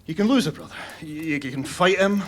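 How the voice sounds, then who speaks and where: A man acting a troubled, higher-voiced young knight. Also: expressive, brisk, natural voice. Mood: wistful, grieving. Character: troubled, guilt-ridden young knight, wounded and stubborn. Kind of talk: audio drama acting.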